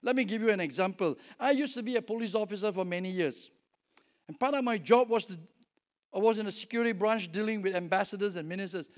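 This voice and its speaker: An older man with a Singaporean accent delivers a sermon. His voice sits quite high for a man. He teaches conversationally, checking in with questions as he goes. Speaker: preacher. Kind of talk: sermon with scripture reading and teaching.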